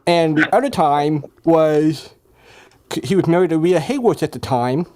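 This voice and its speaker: A man on a panel telling anecdotes casually, in short, halting, plain phrases. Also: fairly high pitch, a little mellow, slight background noise.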